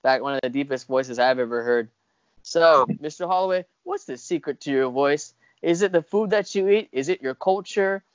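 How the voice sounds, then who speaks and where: A man's voice: a little high, normal speed, clean tone, no room sound. A young adult American man hosting a casual interview over a video call. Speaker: host. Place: room at home, indoors. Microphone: earphone mic on video call. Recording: dull lo-fi video call audio.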